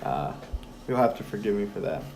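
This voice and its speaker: A hoarse young man talking as a guest in a relaxed, rambling conversation with lots of fillers. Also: on the low side, a little fast, a little hiss or hum, rough recording. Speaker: podcast guest. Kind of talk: casual conversation.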